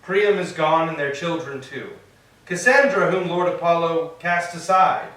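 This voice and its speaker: A man's voice: fairly high pitch, a little slow, a bit bright. A man playing a grand, commanding sea god, speaking formally in a staged reading of Greek tragedy. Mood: grave lament. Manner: formal, declamatory. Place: echoing church interior. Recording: very echoey hall, distant mic.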